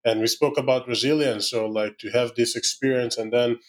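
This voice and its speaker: A middle-aged man with an Israeli accent, a startup founder answering podcast questions in halting English full of "you know" fillers. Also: mid-range voice, steady tone. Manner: halting, many "you know" fillers, non-native grammar.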